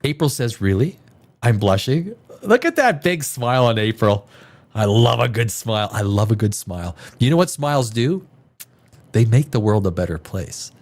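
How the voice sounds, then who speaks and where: A man hosting a casual live stream, his voice a little stuffed up from a cold. He rambles on in friendly chat with the viewers, repeating and restarting his sentences. His pitch rises and falls in a lively way. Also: moderate pace. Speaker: livestream host.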